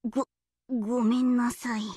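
A woman voicing a childlike, excitable young teenage girl in an anime game, eager, bubbly and easily flustered. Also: extremely high pitch, a little slow, no room sound, clean studio sound, heavily acted. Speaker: game character voice.

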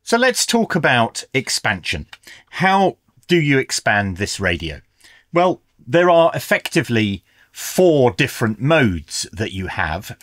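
A man's voice: medium pitch, a bit bright, no echo, studio quality. A middle-aged man giving a methodical, explanatory product review in an instructional style. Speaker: radio reviewer, presenter.

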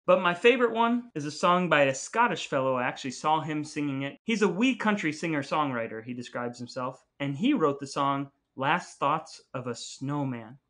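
A man's voice: a little high, animated, normal speed, hardly any pauses, fairly bright tone. A man co-hosting a podcast, chatting casually in a playful, jokey way. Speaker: podcast co-host.

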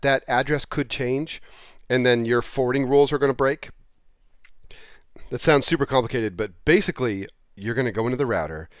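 A man's voice: mid-range voice, no room sound, clean studio sound. A man around forty giving a casual, off-the-cuff tutorial in an informal, plain-spoken way on a headset mic. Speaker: presenter of a software how-to. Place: small indoor room, plain wall. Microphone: headset microphone.

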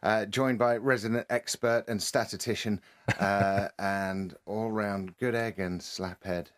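A man's voice: lowish voice, fairly even intonation, a little slow. A man hosting a podcast, teasing his guests in joking casual chat. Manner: teasing, wisecracking. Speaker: podcast host.

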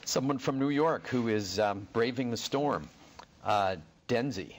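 A man's voice: medium pitch, a little slow. An older man moderating, reading out viewers' names, hometowns and questions in an indoor studio. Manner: reads names and places before each question. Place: indoor studio before a backdrop.

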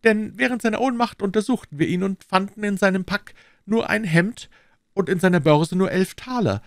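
A man voicing an excitable, servile innkeeper with a high, eager, fawning way of talking. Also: moderate pace, creaky, dry recording, studio quality.

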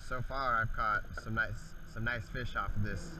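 A man's voice: on the low side, fairly even intonation, moderate pace, distant mic, background noise. A man giving laid-back, casual commentary in short remarks while fishing outdoors from a kayak, recorded on a body-worn action camera. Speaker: kayak fisherman vlogger. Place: outdoors on open water in a kayak.

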